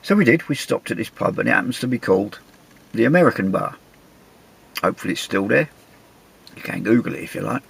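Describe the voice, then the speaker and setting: An English man, middle-aged, with a gravelly, husky voice, telling a travel story in a chatty, wry, self-mocking way. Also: on the low side, animated, fast, pauses often. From England. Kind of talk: casual anecdotal storytelling.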